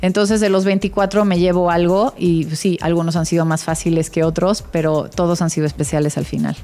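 A woman's voice: on the low side, level tone, a little fast, mellow, faint music behind. A woman with a Mexican Spanish accent giving reflective, enthusiastic interview answers as an interior designer.

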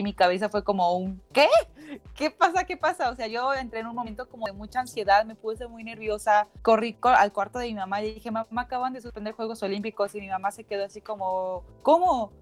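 A Mexican woman in her twenties answering interview questions and retelling events in a lively, casual way. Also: medium pitch. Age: 20s. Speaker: athlete guest.

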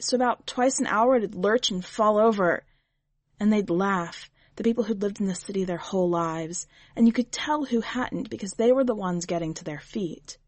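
A woman's voice: medium pitch, dry recording, close to an everyday voice. A woman voicing an anxious young wife who talks eagerly and earnestly.

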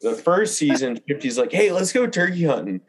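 A young adult man chatting casually as a podcast guest, telling hunting anecdotes in an animated way, peppered with "like". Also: on the high side, fairly quick, slightly rough. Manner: animated storyteller, frequent "like".

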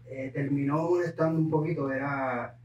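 A man from Puerto Rico with a Puerto Rican accent, a podcast guest telling his life story in an unhurried way, slipping English words into his Spanish. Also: mid-range voice, steady tone, darkish tone, reverberant, far from the mic, highs cut off, slight background noise. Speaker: guest entrepreneur. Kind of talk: conversational storytelling.

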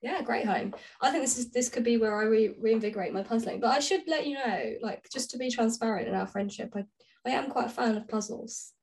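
A woman's voice: a little high, brisk, a little mellow. A woman co-hosting a podcast, chatting casually with a friend in a playful, dryly teasing way. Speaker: podcast co-host. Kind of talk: casual chat between friends.

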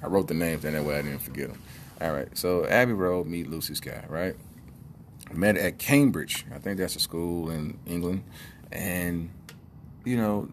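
A man's voice: low-pitched, a little hiss or hum. A man hosting a comedic monologue, telling a story in a casual, rambling way with many fillers. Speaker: comedian host.

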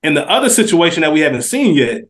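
A man's voice: fairly high pitch, very animated, fast talker. An American man co-hosting a casual TV recap podcast, talking in slang and repeating phrases for emphasis. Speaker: podcast co-host.

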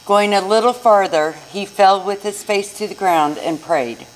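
A woman's voice: medium pitch, unhurried, slight background noise, rough recording. A woman narrating a scripted scripture reading in church. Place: inside a church.

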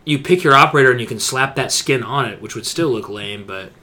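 A man's voice: medium pitch, quick pace, sharp tone. A young adult man co-hosting a podcast, talking informally and slangily in casual conversation. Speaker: podcast co-host. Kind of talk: casual chat.

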